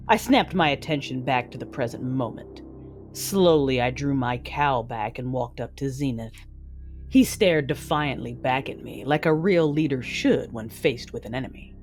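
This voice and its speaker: Cold, vengeful Sith lord over music. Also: female voice, very deep voice, darkish tone. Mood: cold, menacing. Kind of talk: first-person audio drama narration and dialogue. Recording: dramatic music underneath.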